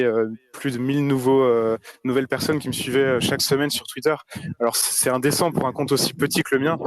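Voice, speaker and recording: Flat-voiced man hosting a casual audio chat. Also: mid-range voice, no echo. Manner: filler-heavy, hesitant. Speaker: host of a community audio space.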